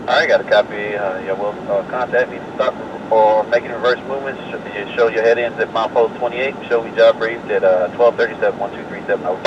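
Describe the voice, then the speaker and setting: Distant radio transmission, heavy train noise, man, railroad worker, clipped procedural talk, rapid delivery. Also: on the low side, fairly even intonation, muffled recording. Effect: two-way radio. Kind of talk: railroad radio dispatch chatter. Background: passing train noise.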